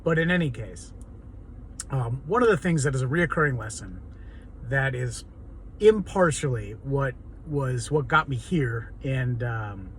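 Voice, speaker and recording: A man with a hoarse, raw, raspy voice, talking earnestly and rambling in an unscripted personal vlog monologue. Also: mid-range voice, lively intonation, measured pace, fairly bright tone, a little hiss or hum, low-quality recording. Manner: rambling and earnest, many ums and restarts. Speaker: vlogger.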